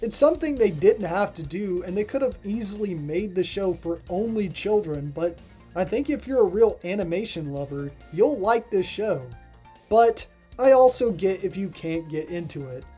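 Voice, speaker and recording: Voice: mid-range voice, lively intonation, normal speed, warm tone, husky, faint music behind. A reviewer narrating a scripted video review in a voice-over.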